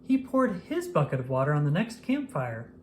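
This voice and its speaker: An expressive storybook narrator reads aloud for young children with a pitch that moves up and down freely. The delivery is scripted and acted out, over faint background noise. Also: normal speed. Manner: expressive, acts out exclamations and dialogue.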